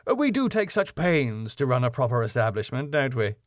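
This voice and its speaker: A man's voice: medium pitch, big pitch swings, moderate pace, no room sound, studio quality, lightly acted. A man voicing a flustered club owner in a sitcom, stammering, hesitant and eager to please. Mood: fawning. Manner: stammering, hesitant, over-apologetic. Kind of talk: sitcom script read aloud.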